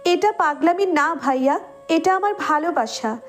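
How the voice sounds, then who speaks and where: A woman acts out a lovesick young bride with a very high voice. She pleads stubbornly, in the emotional manner of an audio romance drama, over music. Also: lively intonation, moderate pace. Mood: hurt, insistent. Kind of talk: audio love story acting.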